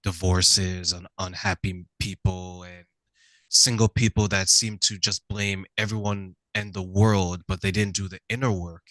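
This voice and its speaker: A middle-aged man hosting a conversational interview, telling stories in an animated way and often checking in with the listener. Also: low-pitched, unhurried, no room sound, low-quality recording. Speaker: talk show host.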